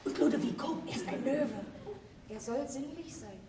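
A woman's voice: sits high, moderate pace. An actress playing a naive, dreamy young daughter in a German radio play, with girlish chatter. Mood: dreamy, giggly. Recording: roomy, distant from mic, clear background noise.

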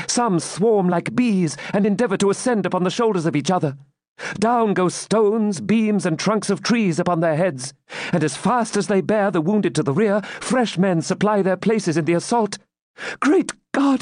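A man's voice: high voice, a little mellow, no echo, clean studio sound, pitched above the performer's own voice, lightly acted. A man voicing a fervent, courageous young maiden with breathless, exclamatory, archaic storybook speech. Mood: appalled.

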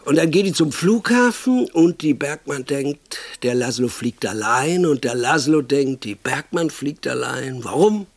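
An actor playing a pompous, crude and impatient film producer in a radio comedy, pitching movie ideas in a bossy, rambling, self-enthused way. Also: male voice, expressive, measured pace, few pauses, dry recording. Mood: scheming, self-assured. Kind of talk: radio comedy monologue, improvised-sounding pitch.

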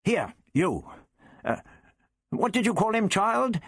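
A man's voice: high voice, big pitch swings, slow, fairly bright tone, slightly rough, dry recording, studio quality, voice pushed up, heavily acted. A man voicing a proud, testy old doctor in an audiobook story, with sniffy, questioning interjections. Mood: commanding.

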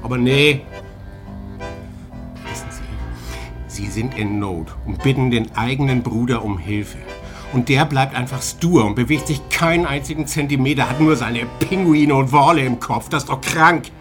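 Actor as bitter, self-justifying male suspect, medium pitch. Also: moderate pace, over music, natural voice. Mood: indignant. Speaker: actor in a radio drama. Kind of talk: German radio crime drama acting.

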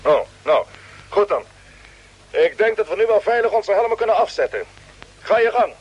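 High-voiced, commanding space captain. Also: male voice, animated, moderate pace, husky. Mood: concerned. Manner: authoritative, clipped orders. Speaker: radio drama actor. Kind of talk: radio play acting. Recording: noisy old radio drama recording.

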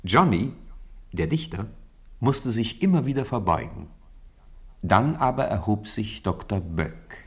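Ein Mann trägt als Erzähler eines Hörspiels einen literarischen Text vor. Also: male voice, fairly low pitch, animated, unhurried, slightly rough. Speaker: narrator. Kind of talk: scripted storybook narration for radio.

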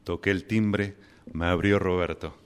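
A man's voice: sits low, fairly even intonation, a little slow, smooth. An actor with an Argentine accent playing an anguished, obsessive, melodramatic man in a radio drama, stammering at times. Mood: rueful storytelling. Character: anguished, obsessive man deprived of his beloved mate. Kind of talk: radio drama acting with first-person narration.

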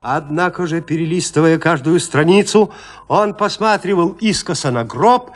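A man narrating a literary audio drama in a measured, suspenseful manner with deliberate pauses. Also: a little high. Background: music.

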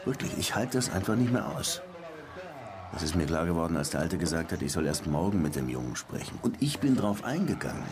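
Deep-voiced, gruff, world-weary police lieutenant. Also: male voice, sing-song, brisk, a crowd in the background. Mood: frustrated, bitter. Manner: gruff, terse, colloquial. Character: brusque, world-weary male Havana police lieutenant, restless, sardonic. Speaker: actor in radio crime drama. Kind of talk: radio play acting. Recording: music behind the voice.

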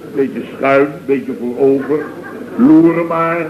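Man playing a blustering middle-aged building boss, slightly deep-to-middling pitch, mocking, rambling, repetitive, radio comedy, muffled vintage sound. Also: slow, echoey room, close to an everyday voice. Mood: disdainful gossip. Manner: rambling, emphatic, repeats himself, mocking. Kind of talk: 1970s Dutch radio comedy sketch acting. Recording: dull, lo-fi old radio recording.

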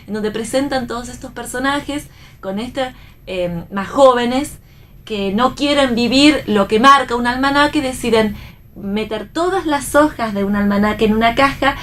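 A woman's voice: unhurried, a bit bright. An Argentine woman explaining things casually as a panelist in a radio discussion. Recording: faint background rumble.

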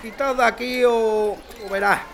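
An actor playing a gruff, grumbling working man in a Spanish period radio drama. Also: very high-pitched, steady tone, moderate pace, fairly bright tone, a little hiss or hum.

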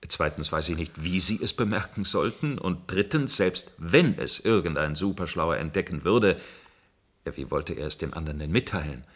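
Deep, husky, deferential control engineer. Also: male voice, expressive, normal speed, a bit bright. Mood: deferential, defensive. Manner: dutiful, formal, matter-of-fact reporting. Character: deferential male control engineer serving a dystopian bureaucracy. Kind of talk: German radio play acting.

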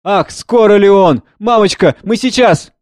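A man's voice: sits high, a little slow, a little mellow, dry recording, clean studio sound, pitched above the performer's own voice. A man playing an anxious, dutiful son in a stage drama, agitated and helpless.

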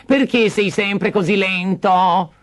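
A man voicing a cruel, bossy stepmother in a storybook reading, with a sharp, scolding, impatient delivery. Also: high voice, slow, pitched above the performer's own voice.

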